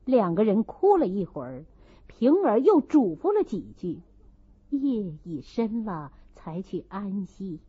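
A woman's voice: mid-range voice, sing-song, slow, husky, phone-quality sound. A woman narrating a classic novel as a dramatic audiobook.

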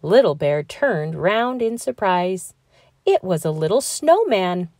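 A woman reading a children's picture book aloud with slow, expressive storytelling. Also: on the low side, no room sound, studio quality. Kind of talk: read-aloud storybook narration.

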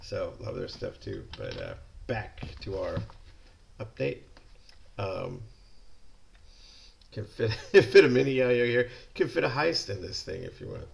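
A man's voice: fairly low pitch, very animated, measured pace, vocal fry, long pauses. A middle-aged American man from California, a yo-yo shop owner, chatting casually and enthusiastically while unboxing products on a desk camera indoors. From California, USA.

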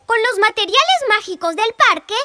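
A woman's voice: very high-pitched, sing-song, moderate pace. A woman voicing a cheerful, curious little boy in a preschool cartoon, eager and full of exclamations. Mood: cheerful, inviting. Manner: bright-eyed, eager, exclamatory. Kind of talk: children's cartoon acting. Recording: children's music behind the voice.

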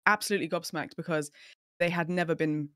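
A young woman, a guest on a podcast, telling her story in casual, animated chat. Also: fairly low pitch, fast talker, no room sound, clean studio sound. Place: home room, indoors. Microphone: studio mic on a boom arm, video call.

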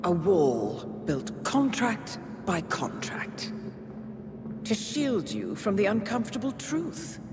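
A woman playing a cool, calculating intelligence handler in a video game, speaking in measured, formal phrases. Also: mid-range voice, wildly swooping pitch, background noise, heavily acted. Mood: taunting, cold. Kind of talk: dramatic video game cutscene acting. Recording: long hall reverb under loud music.

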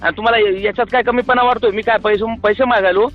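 भराभर बोलणारा, संतापलेला मराठवाडी पुरुष. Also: male voice, sits high, steady tone, fast talker. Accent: Marathwada. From Marathwada, Maharashtra. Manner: indignant, insistent, interrupting. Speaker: poet. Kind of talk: heated argument on a phone call. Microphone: phone call. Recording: dull phone call recording with music.

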